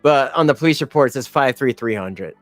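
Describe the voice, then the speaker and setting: A man's voice: mid-range voice, lively intonation, fast, no room sound, soft background music. Sarcastic man addressing viewers as folks. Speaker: commentary streamer. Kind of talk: reaction commentary.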